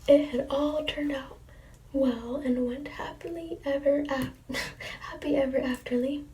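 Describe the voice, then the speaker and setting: A woman reading children's storybooks in a soft, breathy whisper, gently commenting on the pictures between pages. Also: high voice, steady tone, unhurried, distant mic, slight background noise. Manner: gentle, with quiet asides on the pictures. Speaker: storybook reader.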